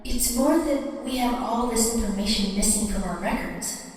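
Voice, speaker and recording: A woman's voice: a little high, normal speed, a little mellow, natural voice. Woman doing bookish cartoon pony, earnest explainer, far off in echoey hall, music under. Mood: serious. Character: earnest, bookish, cautious adult mare investigating records. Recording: echoing hall, distant, music behind.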